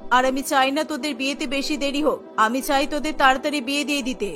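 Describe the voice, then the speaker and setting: A woman's voice: high-pitched, fairly even intonation, fast talker, a bit bright, pitched below the performer's own voice. A woman acts out a stern, unloving cartoon mother of grown daughters. The voice drops well below the performer's own, and she talks in a curt, bossy, scolding way over music. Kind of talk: Bengali cartoon character voice.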